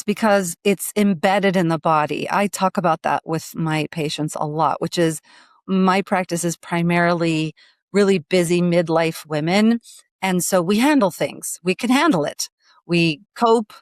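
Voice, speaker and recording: A woman's voice: lowish voice, no room sound, studio quality. A woman physician hosting a podcast interview, reflective and searching aloud for the right words.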